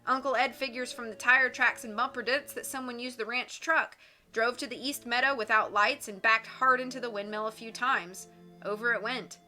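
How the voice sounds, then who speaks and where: A practical, tomboyish young woman, read by a woman, matter-of-fact and dry in a storybook reading. The tone stays bright and a little high. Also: fairly even intonation, normal speed, faint music behind. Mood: explaining.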